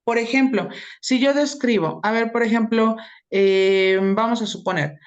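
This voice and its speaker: A woman's voice: on the high side, unhurried, lo-fi sound. Woman teaching, checking in often. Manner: explains step by step, checks in with tag questions. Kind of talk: online class lecture.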